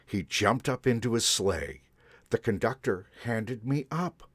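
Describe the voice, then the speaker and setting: A man in a Santa persona reading a picture book aloud to children in a gentle, expressive way. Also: lowish voice, unhurried, slightly rough, dry recording, studio quality. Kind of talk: storybook read-aloud to children.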